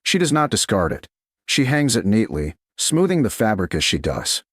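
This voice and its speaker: A man reading a romance story in a slow, measured narration style. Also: on the low side, big pitch swings, fairly bright tone, no echo, studio quality.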